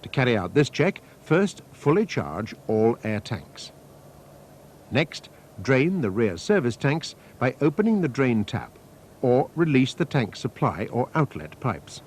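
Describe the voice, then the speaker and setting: A man reading scripted technical instructions as a training film narrator, in a measured, methodical, formal manner. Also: medium pitch, big pitch swings, a little mellow. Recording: faint noise, archival training film soundtrack.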